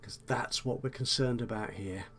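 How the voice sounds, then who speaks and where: A man with a slightly croaky voice, chatting and thinking aloud while commentating on a management game. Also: mid-range voice, moderate pace, fairly bright tone, soft background music. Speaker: let's play host. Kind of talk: casual gameplay commentary.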